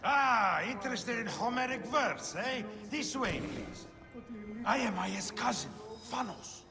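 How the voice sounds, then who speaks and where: Furtive poet cousin for a historical game: florid, swooping delivery, reverberant space with background noise. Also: male voice, extremely high pitch, animated, slow pace, gravelly, music in the background, full character voice. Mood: effusive. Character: furtive, theatrical male poet cousin in ancient Alexandria. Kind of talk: dramatic video game acting.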